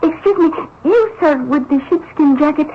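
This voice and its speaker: A woman's voice: sits high, expressive, normal speed. An actress playing a shrewd young Russian village woman in a radio drama, coy, insistent and probing. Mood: coy, stalling. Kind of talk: old-time radio drama acting. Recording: muffled, noisy old radio recording.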